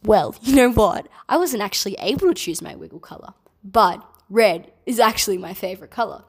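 A woman's voice: medium pitch, lively intonation, brisk, slightly rough. A woman in her twenties with an Australian accent, a children's entertainer answering kids' questions from home, bubbly and enthusiastic. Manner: bubbly, enthusiastic, speaks gently to kids.

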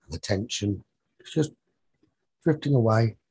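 A man leading a guided sleep meditation as a teacher, soothing and husky, speaking in short phrases with long pauses. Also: lowish voice, lively intonation, darkish tone.

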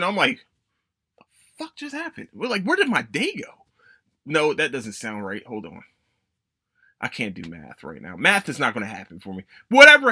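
A man's voice: mid-range voice, bright, forceful tone, slightly rough, no room sound, studio quality. A young adult man hosting a casual solo podcast, rambling, swearing and correcting himself as he goes. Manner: rambling, profane, self-correcting. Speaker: podcast host.

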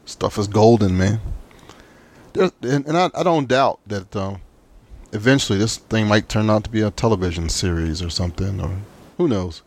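A man's voice: lowish voice, moderate pace. A man with a Southern US accent hosting an interview in a casual, informal and appreciative way. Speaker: podcast host and interviewer.

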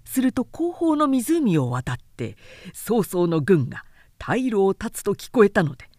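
A woman reading a literary story aloud as narrator, in a measured, theatrical storytelling manner. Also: medium pitch, very animated, warm tone, dry recording, studio quality. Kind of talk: dramatic scripted literary narration.

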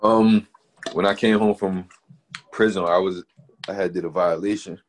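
A man, a rapper from Newburgh, New York, talking casually in an interview with a naturally deep voice, full of slang and "you know what I mean" fillers. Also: fairly even intonation, normal speed, a little hiss or hum. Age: adult, not young. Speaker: rapper guest.

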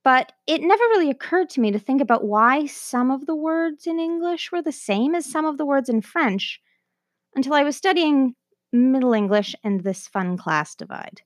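Podcast host, Canadian woman, high and very expressive, scripted educational narration with an enthusiastic feel, dry studio sound. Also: moderate pace, dry recording.

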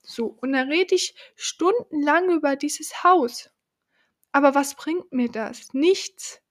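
A woman hosting a podcast, talking slowly and thoughtfully to the listener, her voice deepened by a cold. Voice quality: lower than usual from a cold. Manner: slow, thoughtful, addresses the listener directly. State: has a cold. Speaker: podcast host. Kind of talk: reflective podcast monologue.

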